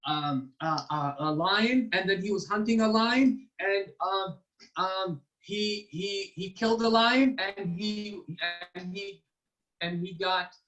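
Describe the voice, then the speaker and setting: A man as a nervous, high-pitched, stammering storyteller. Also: a bit bright, poor audio. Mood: eager, nervous. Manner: halting, stammering, obsequious. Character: nervous, eager, bumbling male storyteller pleading before royalty.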